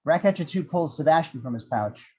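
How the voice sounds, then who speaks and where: A man narrates screenplay action lines in a scripted read-aloud style. His voice sits fairly high for a man, and the recording is slightly dull and muffled. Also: expressive, brisk, a little mellow.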